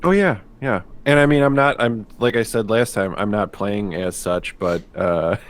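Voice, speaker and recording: A man's voice: fairly low pitch, moderate pace. A man chatting casually as a game player, informal and joking at his own expense. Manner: self-deprecating, joking, informal. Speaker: player on a tabletop game stream. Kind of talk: casual chat.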